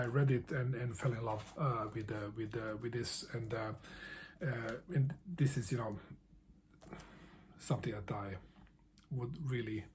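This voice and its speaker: Mellow, halting man. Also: mid-range voice, slight background noise. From Bosnia. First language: Bosnian. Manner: halting, with frequent restarts and repeated words. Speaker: book vlogger. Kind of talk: casual answers to a book tag.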